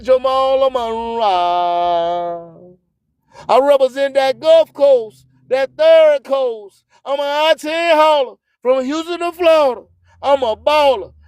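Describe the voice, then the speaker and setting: A man's voice: extremely high pitch, no room sound, studio quality. A man with a Southern US accent hosting a radio show in a boastful, emphatic, preacher-like rhyming monologue. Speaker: internet radio host. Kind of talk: hype radio monologue with rhythmic, rhyming spoken-word delivery.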